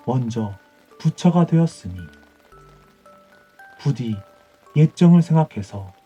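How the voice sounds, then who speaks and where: Man acting a husky, emphatic storybook monk. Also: medium pitch, warm tone, muffled recording. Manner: emphatic, old-fashioned dramatic speech. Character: worldly, teasing, later humbled male Buddhist monk.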